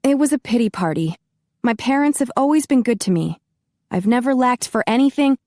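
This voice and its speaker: A woman's voice: on the high side, lively intonation, brisk, darkish tone, no echo, clean studio sound, voice pushed up, natural voice. A woman narrator voicing an indignant young woman who scolds in a heated, exasperated way. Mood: rueful.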